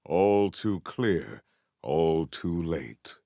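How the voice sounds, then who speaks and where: A male actor voicing a proud, potent warrior in a video game, with formal, grandiose, deadpan delivery. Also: low-pitched, extremely slow, creaky, dry recording, clean studio sound, full character voice. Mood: solemn, grandiose. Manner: formal, stilted, grandiose diction. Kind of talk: scripted video game character lines.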